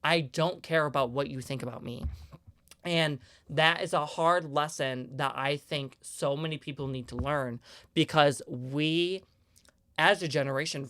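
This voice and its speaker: Young male podcast host, 20s, higher-pitched voice, clean and smooth, earnest emphatic solo rant. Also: fairly bright tone, no room sound, clean studio sound.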